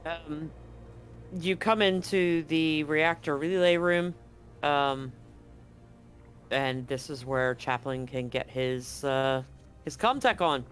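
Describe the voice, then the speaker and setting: A man narrating as game master in a tabletop game, relaxed and full of fillers. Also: fairly high pitch, slow, long pauses, soft background music.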